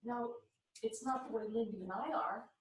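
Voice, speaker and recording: A woman's voice: fairly high pitch, normal speed, far from the mic, crowd noise. A woman in a group session earnestly sharing a personal experience. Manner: earnest, heartfelt. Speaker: group member. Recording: roomy, from off-mic.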